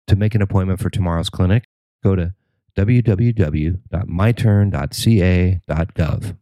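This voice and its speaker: A man's voice: low-pitched, normal speed, warm tone, no echo. An American man reading a scripted local news report as the narrator.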